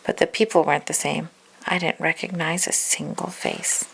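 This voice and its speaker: A woman reading a children's story aloud in an expressive storytelling style. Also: low voice, normal speed, vocal fry, a little hiss or hum, low-quality recording. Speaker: storybook reader.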